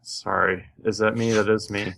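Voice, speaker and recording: A man's voice: on the low side, little pitch movement, moderate pace, vocal fry, a bit bright. A man co-hosting a gaming podcast in casual, informal talk. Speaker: podcast co-host.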